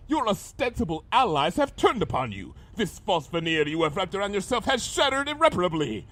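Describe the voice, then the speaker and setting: Casting: theatrical monster villain, high voice, wildly swooping delivery, pompous, vengeful and grandiose. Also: male voice, fairly bright tone, exaggerated voice. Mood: gloating. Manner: pompous, florid, melodramatic diction. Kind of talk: dramatized fan fiction audio reading.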